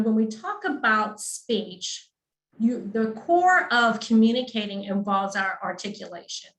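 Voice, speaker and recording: A woman's voice: mid-range voice, a bit bright, echoey room, low-quality recording. A woman speech-language pathologist explaining clinical topics in a patient, teacherly way, often checking in with the listener. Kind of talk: explanatory webinar talk.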